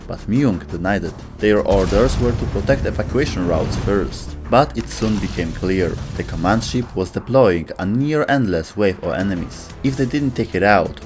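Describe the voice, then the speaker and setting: Low-pitched man narrating over music. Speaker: video host and narrator. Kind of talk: scripted narration.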